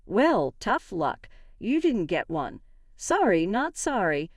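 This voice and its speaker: A woman's voice: medium pitch, very animated, takes it slowly, dry recording, clean studio sound, heavily acted. A woman voicing a smug, condescending older mother-in-law in a narrated story, with a haughty, taunting manner. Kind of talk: acted dialogue in a narrated story.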